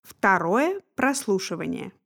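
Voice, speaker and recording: A woman announcing the instructions and timings of a language listening exam in a crisp, formal manner. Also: medium pitch, sing-song, unhurried, fairly bright tone.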